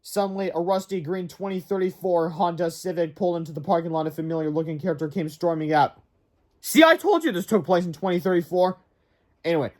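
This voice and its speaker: A man's voice: on the high side, mellow. A man narrating a storybook aloud in a dramatic way, with casual asides.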